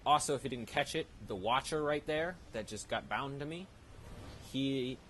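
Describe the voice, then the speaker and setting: A man's voice: medium pitch, moderate pace. A man hosting a gaming video with casual, explanatory commentary and rambling asides. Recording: loud background noise.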